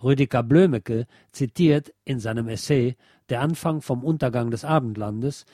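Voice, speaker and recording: Mellow male narrator, close dry sound. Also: medium pitch, moderate pace, dry recording. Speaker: presenter reading a radio essay. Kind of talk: scripted narration, lecture-like.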